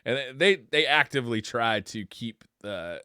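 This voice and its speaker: A man's voice: medium pitch, animated, moderate pace, sharp tone, smooth, no echo, studio quality. An American man in his thirties, joking and slangy as he chats casually as a podcast host. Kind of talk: casual chat about retro gaming.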